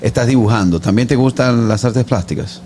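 A man's voice: medium pitch, a little fast, warm tone, a little hiss or hum. A man hosting a casual interview, mixing his own comments with questions. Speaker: interviewer, show host.

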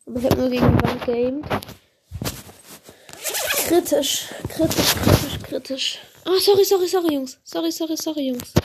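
A woman with a slight cold, giving excitable, chatty commentary on her own gameplay, swearing and apologizing as she plays. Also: sits high, moderate pace, noisy background. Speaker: gaming video creator.

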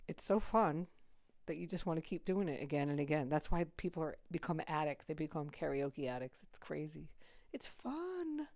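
A middle-aged woman talks casually on her podcast in a low, raspy voice. She is blunt and swears freely. The recording is dry and close. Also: sing-song, normal speed, a little mellow, no room sound. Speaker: podcast host. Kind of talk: casual chat.

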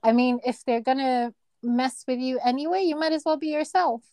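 A woman in her thirties from Kenya with a soft voice, chatting casually as an interview guest, laughing often and searching for words. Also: sits high, moderate pace, no room sound, clean studio sound. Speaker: guest, neurosurgery registrar.

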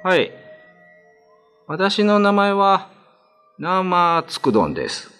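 A man's voice: high voice, wildly swooping pitch, slow, fairly bright tone, smooth, faint music behind, pitched above the performer's own voice, full character voice. A man voicing a timid, kind-hearted prison guard in a radio drama, hesitant, humble and plain-spoken. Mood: nervous.

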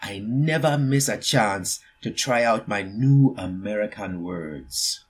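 An eager, earnest young boy, voiced by a man, tells his picture-book story. The voice is husky and goes slowly and deliberately, stressing words for young listeners with storyteller emphasis. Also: lowish voice, expressive, full character voice. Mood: eager, proud. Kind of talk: expressive picture-book reading.

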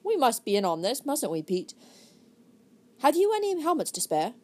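A woman's voice: fairly high pitch, huge pitch swings, normal speed, a little mellow, pitched above the performer's own voice. Woman voicing an eager young boy.

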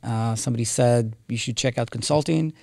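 A man's voice: mid-range voice, a little fast, gravelly, dark tone, no echo, clean studio sound. A man, telling his story as a guest with many fillers and restarts. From Israel. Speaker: guest, company co-founder. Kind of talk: casual interview talk.

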